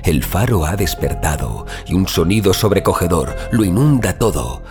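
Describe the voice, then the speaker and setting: A man's voice: low voice, big pitch swings. A man with a Spanish accent from Spain giving an ominous, theatrical scripted introduction as host of horror audio fiction. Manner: ominous, theatrical, deliberate emphasis. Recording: voice over loud background music.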